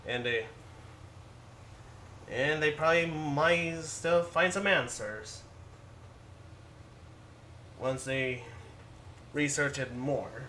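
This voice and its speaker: A man gives improvised commentary on a game's story in a bright, forceful voice. He goes slowly and haltingly, restarting phrases as he goes. There is faint noise in the background. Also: a little high. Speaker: gaming video narrator.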